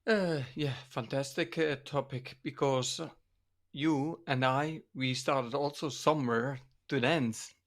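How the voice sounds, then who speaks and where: Older-ish dutch guy on a podcast, really slow, halting english, medium pitch. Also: steady tone. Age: middle-aged or older. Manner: halting, searching for words in English. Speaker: podcast co-host, dance teacher and coach. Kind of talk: casual podcast discussion.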